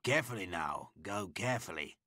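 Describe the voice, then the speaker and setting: A man doing a smooth deliberate villain. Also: sits low, expressive, bright, forceful tone, dry recording, studio quality, full character voice. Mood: cool sly.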